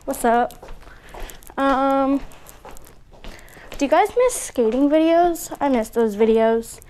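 A woman's voice: high voice, animated, slow pace, darkish tone. A woman vlogging and asking interview questions in a casual, chatty style.

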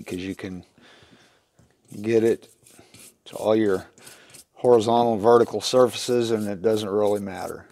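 A man's voice: on the low side, creaky, darkish tone. A middle-aged man talks casually through a repair step by step, in a plain-spoken, folksy way as he works. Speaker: instructor in a how-to repair video. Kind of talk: casual hands-on demonstration talk. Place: small wooden workshop at a workbench, indoors.

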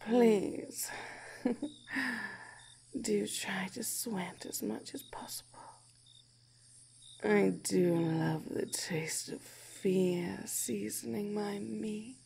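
A woman voicing a cruel, hungry giant snake woman in a teasing ASMR roleplay, breathy and whispery, savoring and gloating. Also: mid-range voice, darkish tone, slight background noise. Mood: menacing, teasing. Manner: slow, teasing, gloating, savoring each phrase.

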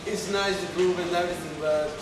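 A man in his twenties from Argentina, answering interview questions in a relaxed, slangy way and laughing easily. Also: sits high, quick pace, noisy background. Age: 20s. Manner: laid-back, laughs easily, loose slangy phrasing. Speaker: DJ and producer. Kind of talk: casual interview answers in English. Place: small dark room at a club. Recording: echoey room, distant mic, loud music behind.

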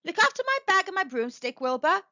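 A woman voicing a cheerful, scatterbrained female witch in a read-aloud storybook, exclaiming excitedly. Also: very high-pitched, brisk, background noise, voice pushed up. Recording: dull lo-fi recording.

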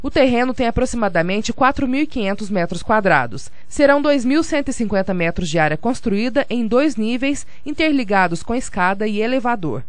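Brief Brazilian woman, news voice-over, steady and formal, dry close sound. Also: a little high, brisk, hardly any pauses, no room sound. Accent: Brazilian Portuguese. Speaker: news reporter. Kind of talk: scripted news narration.

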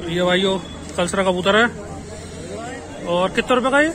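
High-voiced man touring a busy market. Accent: Western Uttar Pradesh Hindi. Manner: brisk promotional patter, repeatedly urging viewers. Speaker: vlogger touring a pigeon market. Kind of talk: walking market vlog, talking to sellers and viewers. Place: busy outdoor street market. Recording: noisy outdoor market crowd. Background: crowd chatter and traffic.

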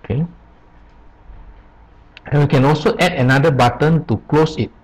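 A man presenting an unscripted software tutorial, explaining step by step in short, halting phrases. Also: fairly high pitch, rough recording. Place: voice-over on a screen recording.

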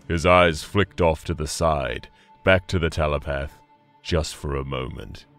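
Gloating winged demon narrator, audio drama. Extremely low, theatrical, menacing; music underneath. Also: male voice. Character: gloating, battle-loving winged demon warrior, male, huge. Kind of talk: first-person dramatic story narration. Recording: music behind the voice.

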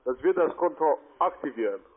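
A man's voice: on the high side, lively intonation, brisk. A man working as a call centre agent, speaking on a sales phone call. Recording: tinny noisy phone line.

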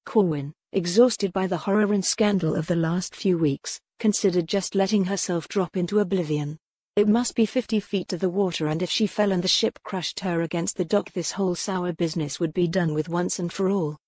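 A woman's voice: lowish voice, moderate pace, darkish tone, breathy edge, no echo. Dry-sounding female audiobook narrator. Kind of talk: scripted narration of a historical romance.

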